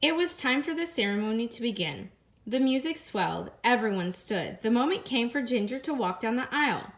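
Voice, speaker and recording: Higher-voiced woman reading a picture book aloud. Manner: expressive, slows down on repeated phrases. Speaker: teacher reading to students. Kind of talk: read-aloud storytelling.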